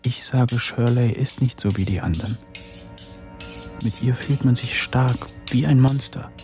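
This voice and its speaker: A man's voice: mid-range voice, unhurried, music in the background, lightly acted. An actor playing a brooding, wild and devoted young man, speaking in intense fragments in a radio drama. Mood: fervent.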